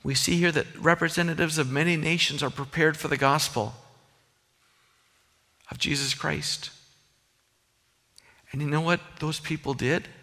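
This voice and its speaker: Bright-voiced Minnesota-accented preacher. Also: male voice, a little high, fairly even intonation, unhurried, long pauses, big-room sound. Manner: earnest, conversational preaching with personal asides. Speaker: pastor. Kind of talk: sermon.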